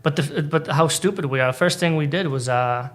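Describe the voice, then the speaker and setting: A man's voice: fairly high pitch, normal speed, fairly bright tone. A young man from Boston, a Polish native speaker, telling stories in casual chat with lots of fillers and restarts. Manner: rambling storytelling with many fillers and restarts. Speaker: stand-up comedian guest.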